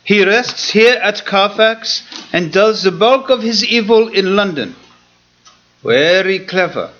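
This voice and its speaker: An actor playing a learned old male vampire hunter professor who speaks gravely and commands the room. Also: sits high, expressive, slow, a bit bright, dull audio, soft background music, heavily acted. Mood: calculating. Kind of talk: live radio play acting.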